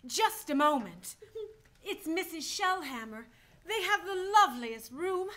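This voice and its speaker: A woman playing a brisk, polite working mother in a radio play. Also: very high-pitched, big pitch swings, bright, forceful tone, heavily acted. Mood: bright, eager. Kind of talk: stage-style radio play acting.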